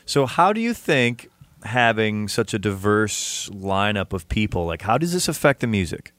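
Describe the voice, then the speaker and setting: Man, interviewer, slightly low pitch, casual, rambling, close dry sound. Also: big pitch swings, normal speed, a bit bright, no echo. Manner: chatty, rambling. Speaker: interviewer and host.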